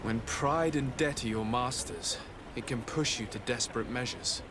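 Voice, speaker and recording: A man playing a courteous, composed noble envoy in a video game, with formal, measured speech. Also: medium pitch, noisy background, natural voice. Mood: measured, persuasive. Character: polite, composed, probing young nobleman envoy. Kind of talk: video game dialogue acting.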